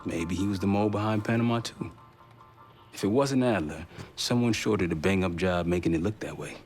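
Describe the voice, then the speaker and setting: An actor playing a frank, streetwise American covert operative in a military game, talking colloquially. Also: male voice, low-pitched, fairly quick, darkish tone, faint music behind, lightly acted. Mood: candid, wry. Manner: colloquial, plain-spoken. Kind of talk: cinematic military game acting.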